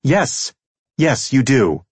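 A man's voice: medium pitch, big pitch swings, slow, breathy edge, no room sound, voice pushed up, heavily acted. A proud young woman, acted by a male narrator, wounded and defiant. The voice sits well above his natural register and lands each phrase with cutting emphasis, as audiobook dialogue. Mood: bitter.

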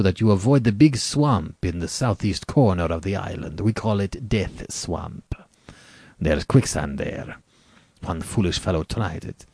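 A man's voice: sits low, a little mellow, breathy edge, no echo, studio quality, exaggerated voice. A man voicing a suave, falsely courteous aristocratic general and cruel hunter in a storybook, with a courtly, condescending, theatrical manner. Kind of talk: dramatic storybook reading.

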